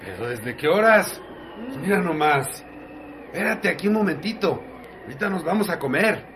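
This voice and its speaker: A friendly rural man fusses over a guest in a lively, coaxing way. His pitch is a little high and swings around a lot. A steady noise hisses behind him in this radio drama. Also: normal speed. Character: friendly, fussing rural man named David.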